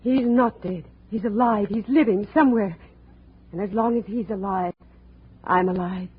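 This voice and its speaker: A woman's voice: medium pitch, moderate pace, phone-quality sound, natural voice. A woman acting a young wife who clings desperately to hope, intense and insistent, in 1950s radio drama style. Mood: desperate, defiant. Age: young adult. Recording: thin, noisy vintage radio recording.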